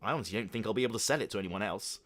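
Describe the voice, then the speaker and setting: A man's voice: lowish voice, racing, fairly bright tone, close to an everyday voice. A man voicing a weak, flustered middle-aged father who stammers and stumbles over his excuses. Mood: sheepish.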